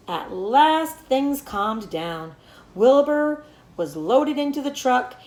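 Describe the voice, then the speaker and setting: A woman reading a children's book aloud as a teacher, in an animated storytelling manner. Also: a little high, echoey room, low-quality recording. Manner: animated storytelling with acted dialogue.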